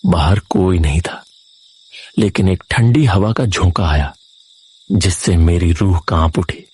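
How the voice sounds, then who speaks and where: A man with a low, husky voice tells a first-person horror story. He reads slowly and with suspense, as a dramatic scripted narrator. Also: a little mellow.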